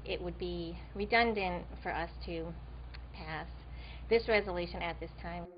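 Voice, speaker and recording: A woman city commissioner giving a measured statement in a news interview. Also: on the low side. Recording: muffled audio, faint background noise.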